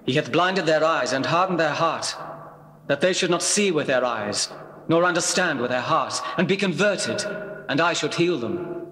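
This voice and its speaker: A man's voice: on the high side, expressive, moderate pace, sharp tone. A man playing a solemn prophet proclaiming scripture in a dramatized Bible recording. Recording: long echoing reverb.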